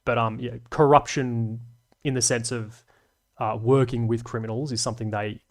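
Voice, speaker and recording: A man's voice: mid-range voice, fairly even intonation, moderate pace. A young man hosting a live stream, rambling and swearing casually as he reads chat and laughs along.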